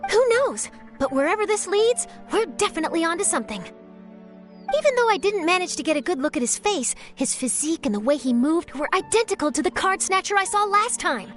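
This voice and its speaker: A woman playing an eager, sharp young journalist in a video game, brisk, polite and articulate. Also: very high-pitched, very animated, soft background music, exaggerated voice. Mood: suspicious, intent.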